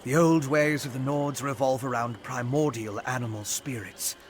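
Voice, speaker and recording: A man playing a curious, excitable, cheerfully condescending gentleman investigator in a video game, erudite and theatrical. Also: mid-range voice, moderate pace, slight background noise, close to an everyday voice. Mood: lecturing, curious. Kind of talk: video game character voice acting. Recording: game music behind.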